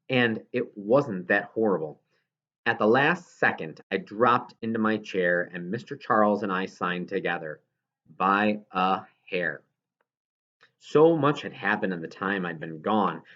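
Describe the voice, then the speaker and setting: A man reading a first-person children's novel aloud as scripted narration, in a dull, muffled recording. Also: lowish voice. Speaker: audiobook reader.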